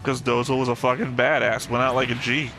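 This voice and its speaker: A man's voice: mid-range voice, flat delivery, brisk, music in the background. A man giving casual, opinionated, sometimes sweary commentary as he reacts to a show.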